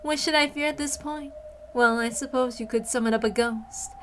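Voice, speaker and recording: A woman voicing a deadpan, world-weary young girl who is never fazed, in an amateur story reading. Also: a little high, normal speed, a little hiss or hum, natural voice. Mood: calm, unfazed. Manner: deadpan, unfazed.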